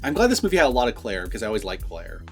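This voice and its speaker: A man's voice: on the low side, huge pitch swings, rapid-fire, gravelly, faint music behind. A man chatting casually and profanely as a movie review co-host, rambling and repeating himself for emphasis.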